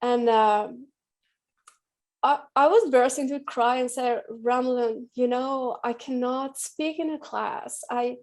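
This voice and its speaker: A middle-aged woman from Iran, an artist, speaking English as an in halting, emotional panel talk. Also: high-pitched. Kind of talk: panel conversation.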